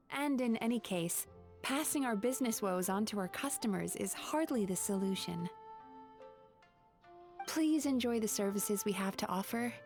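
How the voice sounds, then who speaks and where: A woman's voice: a little high, a little mellow. A woman playing a polite, composed young spirit hostess and therapist in an anime game, reassuring and courteous. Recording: music playing behind the voice.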